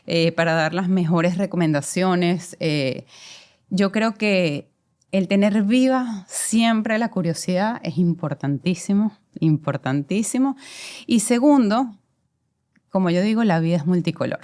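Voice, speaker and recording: A woman's voice: fairly low pitch, lively intonation, unhurried, darkish tone. A woman in her forties with a Venezuelan accent, a guest telling her career story in a reflective way, with many fillers. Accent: Venezuelan Spanish. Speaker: guest, commercial director. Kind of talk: conversational interview.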